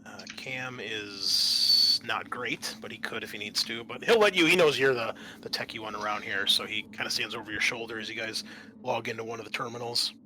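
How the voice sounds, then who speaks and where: A man's voice: on the low side, brisk, pushed and bright, a little hiss or hum, rough recording. A man narrating a tabletop game as game master in a conversational, explanatory way.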